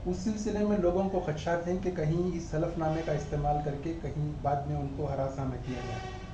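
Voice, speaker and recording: A man's voice: a little high, steady tone, moderate pace. A man putting formal, respectful questions as a news interviewer. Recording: echoey, dull, noisy room recording.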